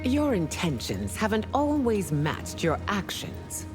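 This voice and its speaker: A woman's voice: mid-range voice, big pitch swings, slow pace, over loud music. A woman playing a proud, guarded empress in a fighting video game, stern and formal. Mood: wary, reproachful.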